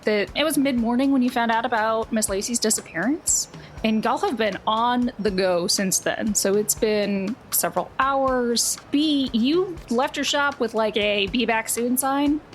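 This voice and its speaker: High-voiced woman game master, noisy background. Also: a little slow. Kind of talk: narrating a tabletop game, casual recap.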